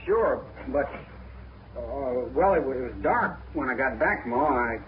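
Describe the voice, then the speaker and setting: An actor playing a bitter, sullen young frontier son with an evasive, defiant manner. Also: male voice, medium pitch, animated, a little slow, lo-fi sound, a crowd in the background, natural voice. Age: young adult. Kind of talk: 1950s radio drama acting.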